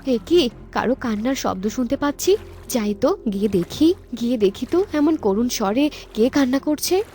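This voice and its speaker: A woman voicing a proud, kind-hearted water fairy queen in a cartoon story, expressive and dramatic. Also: a little high, normal speed, voice pushed down, close to an everyday voice. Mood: curious.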